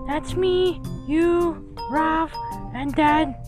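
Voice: high-pitched, drawn-out words, pitched above the performer's own voice. A performer voicing an innocent, cheerful little turtle boy in a cartoon comic dub. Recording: music behind the voice.

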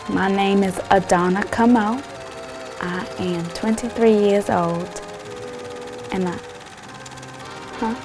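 An actress in her twenties playing a nervous, proud 1940s big sister with a hesitant, homespun manner. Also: medium pitch. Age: 20s. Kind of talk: film monologue as 1940s interview.

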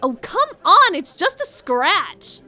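A woman voicing a tough, teasing older high school girl, blunt and sassy, in an animated roleplay. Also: slow, faint music behind, exaggerated voice. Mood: mocking.

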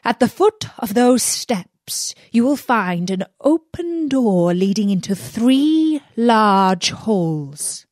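A woman voicing a scheming, bossy male magician in a storybook, with a loud, deliberate, commanding manner. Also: a little high, sing-song, no room sound, clean studio sound.

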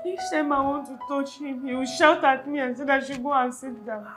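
A woman's voice: sits high, steady tone, brisk, natural voice. A woman with a Nigerian accent playing a neglected young wife in a melodrama, plaintive and pleading, with music under the dialogue. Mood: despairing. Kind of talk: melodramatic screen acting.

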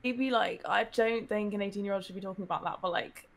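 A woman's voice: medium pitch, fast, vocal fry. An eighteen-year-old woman chatting casually on a webcam video call from her bedroom, full of fillers. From London. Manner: relaxed, filler-heavy, polite. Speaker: dating show contestant, streamer. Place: bedroom with a Christmas tree, indoors.

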